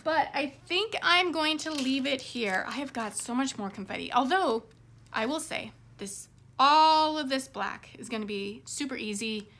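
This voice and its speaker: A woman hosting a craft vlog, rambling casually to her viewers, her voice a little hoarse. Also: high-pitched, expressive, unhurried, sharp tone. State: slightly hoarse.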